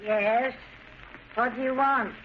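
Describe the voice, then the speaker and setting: A woman's voice: fairly high pitch, steady tone, takes it slowly. An actress playing a despairing, frantic middle-aged mother in old radio drama, mournful and pleading as she speaks. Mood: numb grief. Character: despairing, frantic mother of two wayward sons. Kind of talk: 1930s-40s radio drama acting. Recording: dull, noisy old radio recording.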